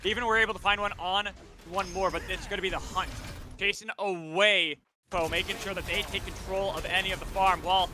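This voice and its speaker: Give the voice of a male esports caster with a high pitch. He calls the action live in an excitable play-by-play manner. Game noise is clearly audible behind him. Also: a little fast. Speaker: esports shoutcaster. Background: video game sounds.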